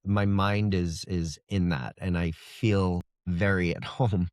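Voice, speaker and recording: A man's voice: deep voice, steady tone, takes it slowly, darkish tone, no room sound, studio quality. A middle-aged man speaking as an interview guest, telling things in a casual, reflective, conversational way. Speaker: fantasy author, interview guest.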